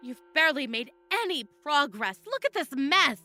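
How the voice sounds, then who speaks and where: Sharp-tongued female overseer played by a woman: high voice, scolding, sarcastic, visual novel drama, music clearly behind. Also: sing-song, normal speed. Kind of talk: fan-made visual novel voice acting. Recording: background music.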